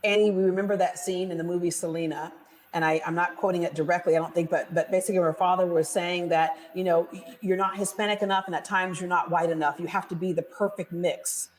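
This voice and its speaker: Brief: middle-aged female TV host, slightly low voice, chatty panel moderator. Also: fairly even intonation, quick pace. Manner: conversational, laughs easily, reads statistics. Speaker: TV talk show host. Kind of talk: hosted panel discussion.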